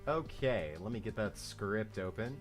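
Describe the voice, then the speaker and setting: Male read-along co-host, casual and very animated in pitch, music underneath. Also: measured pace. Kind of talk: casual chat between script readings.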